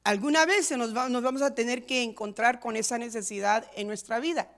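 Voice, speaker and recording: A woman pastor preaching a sermon in a rhythmic, repetitive style, moving between loud stressed lines and quiet asides. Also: on the high side, brisk. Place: church in San Diego.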